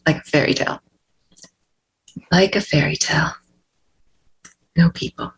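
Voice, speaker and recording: A woman's voice: deep voice, fairly even intonation, measured pace, slight background noise, poor audio. A woman playing a determined, world-weary prison runaway in a screenplay table read, terse and guarded. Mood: wistful.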